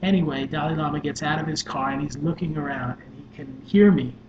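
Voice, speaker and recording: Soft-spoken man telling a story, echoey room. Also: a little high, brisk, over a noisy crowd. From New York. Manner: earnest, many fillers. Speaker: social worker and life coach. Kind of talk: personal story told to camera. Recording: echoey room with dull sound and noise.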